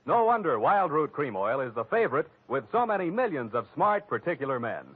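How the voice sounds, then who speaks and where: A man's voice: on the high side, sing-song, brisk, breathy edge. A man reading a scripted 1940s radio advertisement as an announcer, with an emphatic sales delivery. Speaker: commercial announcer. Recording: muffled old radio recording.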